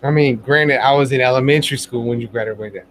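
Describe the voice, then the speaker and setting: A man in his forties joining a casual live stream chat, joking and teasing with quick comebacks. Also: mid-range voice, a bit bright, slight background noise. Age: 40s. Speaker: guest co-host.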